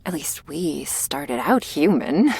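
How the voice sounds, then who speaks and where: A woman playing a shy, gentle vampire girl in an ASMR roleplay, speaking softly and hesitantly, awkward but reassuring, often slipping into a whisper. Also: low-pitched, sing-song, dry recording, full character voice. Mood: nervous, wistful. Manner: hesitant, halting, soft-spoken with breathy whispers. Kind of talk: ASMR roleplay addressed to the listener.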